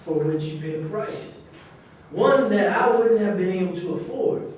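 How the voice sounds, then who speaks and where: A man's voice: high-pitched, lively intonation, a little slow, a little mellow. A man acting in a live staged reading as a blunt, pragmatic character who challenges the others. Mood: challenging. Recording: very echoey distant room recording, faint noise.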